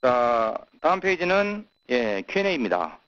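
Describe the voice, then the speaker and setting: A man presenting as a company executive on an earnings call, pausing often with filler sounds. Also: mid-range voice, big pitch swings, a bit bright. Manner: halting, many filler sounds. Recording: muffled conference call audio.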